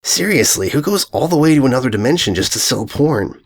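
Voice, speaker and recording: A man's voice: medium pitch, animated, brisk, breathy edge. A man voicing a brash, skeptical young female cartoon pony, blunt and slangy, in a story reading. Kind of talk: fan fiction story reading.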